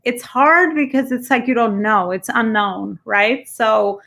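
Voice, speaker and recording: Female podcast host, fry in the voice, loose conversational delivery. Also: a little high, unhurried, a bit bright. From immigrant, came at fifteen. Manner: rambling, encouraging, many fillers. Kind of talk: casual interview chat.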